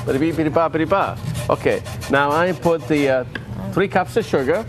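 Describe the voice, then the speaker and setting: A man's voice: fairly high pitch, brisk. A playful man hosts a cooking show, speaking English as a second language and filling his talk with hesitations. Music plays behind him. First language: not English. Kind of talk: casual chat and cooking demo.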